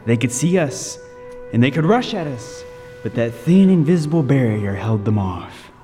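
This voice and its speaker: A man performs a lighthouse keeper recounting a horror story as radio drama. His medium-pitched voice is mellow and dark, with haunted, deliberate phrasing. It echoes in long reverb with music underneath. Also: animated, natural voice. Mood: morbid fascination. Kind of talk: dramatic first-person radio narration.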